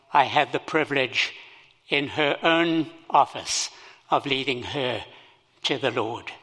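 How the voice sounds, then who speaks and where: An older man with a Zimbabwean accent, speaking as a missionary at a church service, slow and halting with many fillers. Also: a little high, bright, forceful tone, big-room sound. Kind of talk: sermon.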